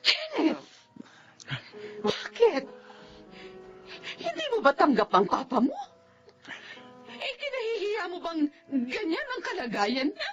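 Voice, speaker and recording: A worried Filipino mother pleads dramatically in a high, swooping voice. She scolds lovingly, in radio drama style on a slightly dull recording with music behind. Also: huge pitch swings, slow pace, long pauses, fairly bright tone, exaggerated voice. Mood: hurt.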